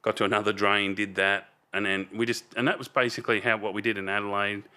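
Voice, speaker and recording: A loud Australian man in his fifties with a fairly low but bright, forceful voice. He tells stories casually and rambles, often trailing off mid-sentence and starting over. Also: flat delivery, fast, smooth. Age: 50s. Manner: rambling, trails off and restarts a lot. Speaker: podcast guest. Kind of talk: casual storytelling chat.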